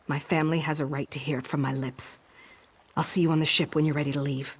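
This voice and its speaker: An actor playing a determined, hopeful woman in a fantasy video game, with a husky voice. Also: mid-range voice, flat delivery, quick pace, lightly acted. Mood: resolute, hopeful.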